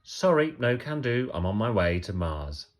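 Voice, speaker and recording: A man's voice: lowish voice, big pitch swings, moderate pace, voice pushed up. A man reading a storybook, voicing a polite, self-important little boy who plays astronaut. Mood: politely self-important. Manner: polite, important-sounding excuses.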